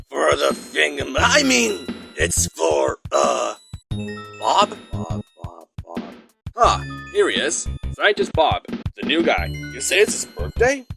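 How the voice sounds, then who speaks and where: A man's voice: a little high, gravelly, exaggerated voice. A man voicing a bossy, exasperated agent in a cartoon fandub, talking emphatically. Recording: loud music behind the voice. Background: loud music.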